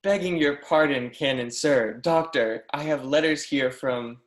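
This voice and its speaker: A man's voice: fairly high pitch, measured pace, natural voice. A man playing an eager, deferential young mathematics professor in a stage play reading, earnest, effusive and formally polite. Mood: reverent, insistent. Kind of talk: readers theatre performance of a history play. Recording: echoey room.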